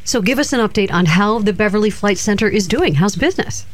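A woman's voice: medium pitch, expressive, brisk. A woman hosting an interview program, asking questions in an encouraging, curious way. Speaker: interview show host.

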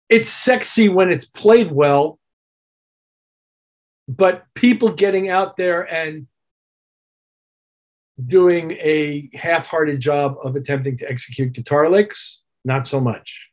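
An older man, a musician guest, talking casually in an interview with a wry, emphatic, opinionated manner. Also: medium pitch. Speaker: interview guest, keyboard player and producer.

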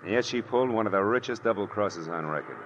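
Male actor for a world-weary 1940s radio gumshoe, American, low-pitched, wry and emphatic, old muffled broadcast sound. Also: expressive, fast, a little hiss or hum, natural voice. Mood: wry, explanatory. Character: hard-boiled male private detective, shrewd, world-weary, sardonic. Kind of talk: 1940s radio detective drama acting and narration.

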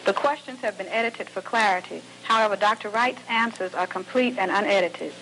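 A woman announcer giving a measured, formal scripted introduction to a recorded program. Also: mid-range voice, background noise. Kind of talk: scripted narration.

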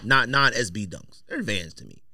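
A man's voice: lowish voice, lively intonation, unhurried, bright, forceful tone, no room sound, studio quality. A middle-aged American man hosting a podcast, chatting casually in a slangy, opinionated way. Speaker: podcast host. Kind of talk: casual chat about sneakers. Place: podcast studio.

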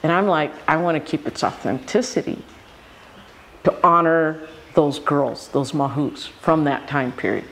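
A man's voice: a little high, a little slow, gravelly, a little hiss or hum. A man around sixty from Hawaii, a filmmaker answering interview questions and animatedly acting out past conversations. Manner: animated storyteller, acts out quoted exchanges. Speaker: filmmaker guest.

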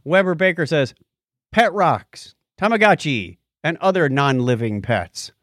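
Man, slightly high voice, very animated, teasing, light-hearted podcast chat. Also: a little slow, no room sound, clean studio sound. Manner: jokey, teasing. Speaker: podcast co-host.

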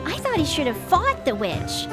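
A woman voices a thoughtful, bookish cartoon schoolgirl. Her voice is high, her delivery earnest and rather formal, and prominent music plays behind her. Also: wildly swooping pitch, normal speed. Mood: pondering.

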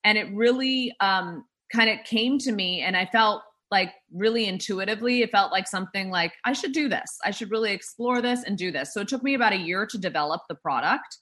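A woman's voice: mid-range voice, a little fast, hardly any pauses, bright, forceful tone. A woman who founded a drink startup, talking in a casual interview, rambling with many fillers and restarts.

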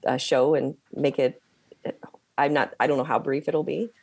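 Hoarse woman, strained voice, halting casual chat, dry close mic. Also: extremely low pitch, steady tone, a little mellow, no echo. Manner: halting, hesitant with many pauses. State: hoarse, losing her voice. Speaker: podcast co-host. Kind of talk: casual conversation.

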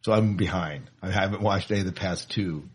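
Low-voiced man hosting a podcast. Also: a little fast, slightly rough. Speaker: podcast host. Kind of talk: casual podcast chat.

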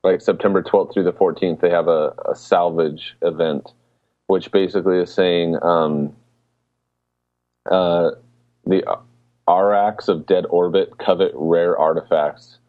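A man with a low voice chats as a podcast co-host. He explains things informally, and the sound is thin and narrow, like a phone call. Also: steady tone, a little slow, no echo. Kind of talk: casual podcast chat.

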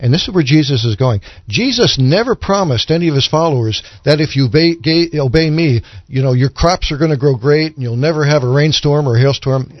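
A man on a discussion panel explaining religious ideas in a deliberate, teacherly way. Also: fairly high pitch, lively intonation, dry recording. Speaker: panelist on a Bible discussion show. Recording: dull, lo-fi audio.

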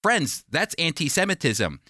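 A man's voice: fairly high pitch, sing-song, unhurried, sharp tone, no room sound, clean studio sound. An American man hosting a radio Bible teaching program, speaking in an earnest, emphatic, conversational way.

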